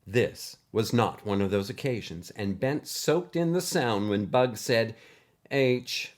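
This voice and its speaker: A male storyteller with a fairly low voice. He narrates scripted fiction with expressive, deliberate phrasing.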